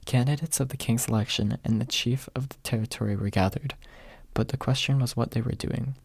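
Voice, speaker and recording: A man reads a story as an ASMR audiobook narrator. His voice is husky with plenty of fry, and it sits close and dry in the ear. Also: mid-range voice, fairly even intonation, fairly quick, no room sound. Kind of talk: ASMR audiobook reading, soft and close, whispery at times.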